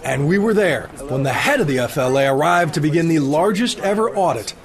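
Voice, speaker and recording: A man's voice: fairly high pitch, lively intonation, brisk, a crowd in the background. A man reading scripted news narration as a television reporter.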